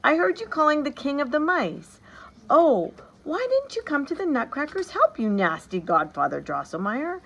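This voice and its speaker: Brief: upset little girl in bed, high and loud, voiced by a woman, lively pitch. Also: normal speed, vocal fry, highs cut off, a little hiss or hum, heavily acted. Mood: accusing.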